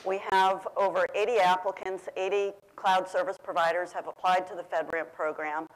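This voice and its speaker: Loud-voiced woman on a conference panel. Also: on the low side, flat delivery, normal speed, rough recording. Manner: says she has a loud voice. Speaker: government program official on a panel. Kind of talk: prepared panel remarks. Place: large conference room. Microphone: panel microphone.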